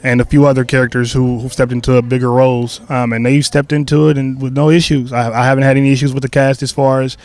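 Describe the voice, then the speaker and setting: A young man who created a stage play, answering interview questions in a loose, relaxed way with many fillers and restarts. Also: mid-range voice, fast, few pauses.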